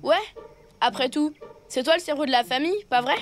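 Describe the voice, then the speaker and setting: A woman voicing a young boy elephant in a French cartoon, anxious and trying to be good, in casual, expressive schoolboy talk. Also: high-pitched, a little fast, over music, lightly acted. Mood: eager to please.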